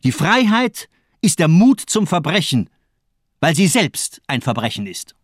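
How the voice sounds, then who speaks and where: Fanatical murderous doctor, swinging intonation. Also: male voice, on the high side, very animated, a little slow, no room sound, studio quality. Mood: fanatical, declaiming. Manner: rhetorical, grandiose, declaiming. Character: fanatical nihilist doctor, cold male torturer and murderer. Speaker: actor in a crime radio play. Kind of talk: dramatic radio play monologue.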